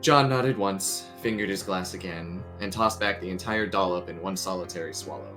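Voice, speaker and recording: A man's voice: low voice, moderate pace, fairly bright tone. A man reading a creepy story aloud as its narrator, with music playing underneath. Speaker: story reader.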